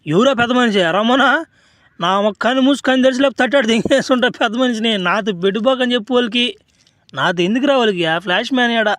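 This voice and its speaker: Man playing a very high-pitched cocky village rogue. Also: very fast, a bit bright, dry recording, studio quality. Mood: cocky, mocking. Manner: mocking, slangy, brash. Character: cocky, teasing young village rogue, male. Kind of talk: amateur comedy cartoon voice acting.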